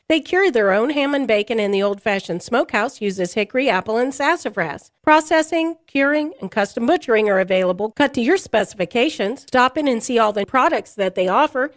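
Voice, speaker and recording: Woman, radio ad announcer, scripted read, slightly high pitch, dry close studio sound. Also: no room sound. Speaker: advertisement announcer.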